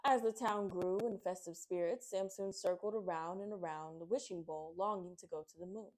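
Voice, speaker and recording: A woman's voice: fairly low pitch, fairly even intonation, normal speed, darkish tone, poor audio. A woman hosting a children's read-aloud, addressing listeners as friends and reading picture books expressively.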